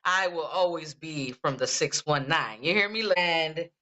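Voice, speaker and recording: A man born in Ensenada and raised in San Diego, co-hosting a podcast in hyped street slang full of shout-outs. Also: a little high, expressive, measured pace. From Ensenada, Mexico; raised in San Diego. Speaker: podcast co-host. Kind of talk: casual chat. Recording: dull lo-fi sound.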